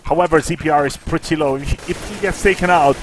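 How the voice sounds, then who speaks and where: A man casting a live esports match, excitable at big plays, with casual, jokey asides. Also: a little fast, a little hiss or hum. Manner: excitable, rises in pitch and volume at big plays. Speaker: esports caster. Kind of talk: live play-by-play commentary.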